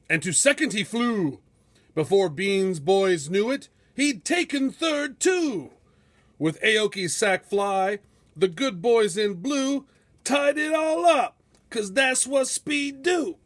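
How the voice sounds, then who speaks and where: Slow, high-voiced man reading a dramatic storybook. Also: fairly bright tone. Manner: theatrical, measured, epic storytelling. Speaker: storybook reader. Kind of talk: dramatic rhyming storybook narration.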